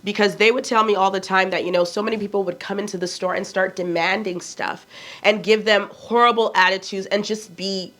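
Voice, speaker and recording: A woman in her forties with a Nigerian accent talks straight to her viewers in a relaxed, chatty way. She is blunt and candid, and she poses questions to herself and answers them. Her voice sits at an ordinary middle pitch. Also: brisk. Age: 40s. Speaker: fashion vlogger. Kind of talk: casual chat to camera.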